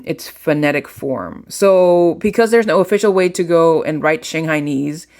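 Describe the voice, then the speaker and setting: A channel host from Colombia, a native Spanish speaker, talking to viewers in a casual, rambling vlog. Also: medium pitch. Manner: rambling, talks to viewers directly.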